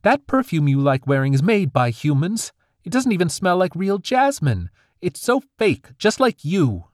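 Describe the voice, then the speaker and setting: This is a storybook fairy girl, young, defiant and excitable, acted by a man reading aloud. Her voice is squeaky and high, leaping up and down with lively pitch. The sound is close and dry. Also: normal speed, dry recording. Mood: angry.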